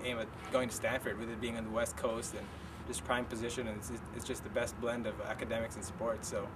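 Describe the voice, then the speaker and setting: A young man from the Philippines, a student golfer, answering interview questions in a soft, hesitant way with many pauses. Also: mid-range voice, little pitch movement. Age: young adult. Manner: soft-spoken, hesitant with frequent ums. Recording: distant mic, street noise.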